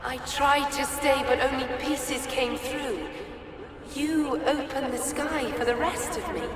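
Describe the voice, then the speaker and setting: A woman voicing a sorrowful, confused spirit in a video game, speaking in halting, dreamy fragments. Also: high voice, fairly even intonation, a crowd in the background. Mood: dazed, mournful. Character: sorrowful confused female spirit echoing a dead woman's memories. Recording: cavernous echoing reverb, distant, music behind.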